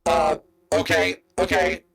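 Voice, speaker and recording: An actor playing an anonymous would-be menacing figure who speaks haltingly through a voice modulator in an audio drama. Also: mid-range voice, flat delivery, creaky, fairly bright tone, full character voice. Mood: uneasy.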